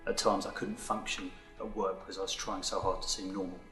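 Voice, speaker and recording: A man in his thirties giving a frank, steady scripted testimonial. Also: low voice, a little fast, soft background music. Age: 30s. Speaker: employee sharing his story. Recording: roomy video recording.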